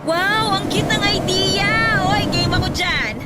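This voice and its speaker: A woman playing a curious, cheerful young female friend who exclaims with excitement. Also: extremely high pitch, takes it slowly, very noisy.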